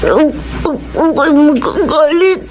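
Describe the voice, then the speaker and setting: A woman's voice: high voice, animated, normal speed, noisy background. A woman playing an earnest, polite young boy from a poor home in a radio drama, speaking hesitantly and pleadingly. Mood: guilty, tearful. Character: earnest poor young boy, devoted to his sick mother. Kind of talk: Tagalog radio drama acting.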